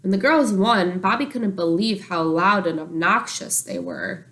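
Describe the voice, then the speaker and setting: A woman reading a children's book aloud like a teacher, expressive and animated, acting out the dialogue. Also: lowish voice, measured pace.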